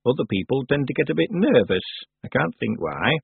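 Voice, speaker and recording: A man voicing an ancient old woman, a witch who is stern, calm and drily matter-of-fact, in a storybook reading. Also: mid-range voice, brisk, vocal fry, husky, no room sound, phone-quality sound, voice pushed up, full character voice. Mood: brisk, matter-of-fact. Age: over 100.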